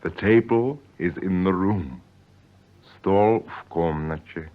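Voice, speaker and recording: A man in a scripted 1950s radio language lesson, speaking carefully and deliberately as the learner and co-presenter. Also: low voice. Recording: dull, lo-fi 1950s radio recording.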